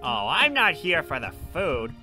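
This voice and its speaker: Man doing brash villain, anime dub, menacing, commanding, very animated pitch, music behind. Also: a little slow. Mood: threatening. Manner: loud, commanding. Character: brash, menacing robber barking orders. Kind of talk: anime comic dub acting.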